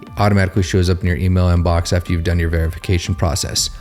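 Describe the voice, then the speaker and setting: A man with a mellow, fairly low voice reads a scripted podcast announcement at a quick clip. Faint music plays behind him. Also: flat delivery.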